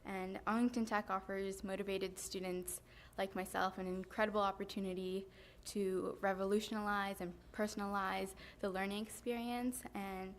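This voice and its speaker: Teen girl student, earnest podium remarks, medium pitch, faint room hum. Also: normal speed. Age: teens. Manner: earnest, steady, many um fillers. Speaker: high school student speaker. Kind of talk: prepared public remarks. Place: school board meeting room, indoors. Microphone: podium microphone.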